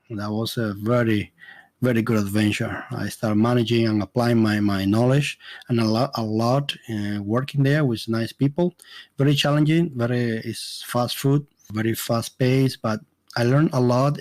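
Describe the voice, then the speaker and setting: A middle-aged man with a strong Dominican Spanish accent talks straight into a close microphone with no room echo. His voice sits at an ordinary middle pitch. He speaks earnestly and often pauses, restarts and fills gaps with "uh.". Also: darkish tone. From Dominican Republic. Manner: earnest, halting, with many fillers and restarts. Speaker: immigration consultant and podcast host. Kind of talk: solo podcast monologue.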